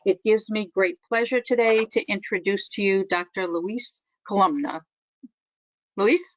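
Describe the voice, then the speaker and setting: A woman's voice: mid-range voice, a little slow, no echo. A woman serving as training coordinator, politely and formally introducing a webinar presenter. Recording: dry, muffled webinar audio.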